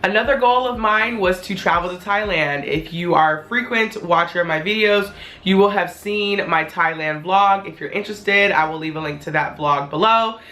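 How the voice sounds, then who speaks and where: A woman in her twenties talking casually to her viewers in a vlog, her voice a little hoarse as it returns, chatty and candid. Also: lowish voice, normal speed, bright, forceful tone. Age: 20s. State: hoarse, voice not fully back. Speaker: vlogger.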